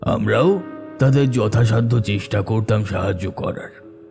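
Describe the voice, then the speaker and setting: A man playing a solemn older villager, reminiscing in measured cartoon storytelling. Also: on the low side, vocal fry, darkish tone, faint music behind.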